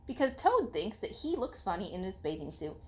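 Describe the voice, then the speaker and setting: A woman's voice: mid-range voice, sing-song, a little fast, a little mellow, slight background noise, close to an everyday voice. A woman voicing a fussy, self-conscious male toad from a children's storybook, plaintive and emphatic.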